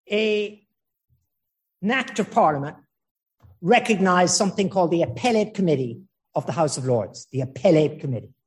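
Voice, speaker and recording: A middle-aged man from Ireland giving an informal law lecture, slow and emphatic, with joking asides and digressions. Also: sits high.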